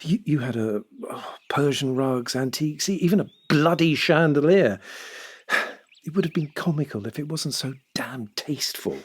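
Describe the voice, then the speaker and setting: A man with a British accent playing a genial, self-deprecating house husband of fifty in an audio drama, casual and hesitant with a husky voice. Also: on the high side, expressive, normal speed.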